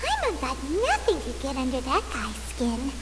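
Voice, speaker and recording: A woman voicing a tiny, excitable childlike companion sprite in a video game, with a squeaky voice and exclaiming manner. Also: moderate pace, noisy background. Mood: curious, chatty. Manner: refers to self in third person, exclaiming. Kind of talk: video game character acting.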